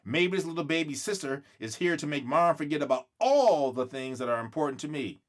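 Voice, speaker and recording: A man's voice: on the high side, expressive, a little fast, natural voice. Man voicing a pouty, indignant little girl. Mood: worried. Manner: pouty, emphatic questioning. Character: indignant, worried young girl narrating her family story.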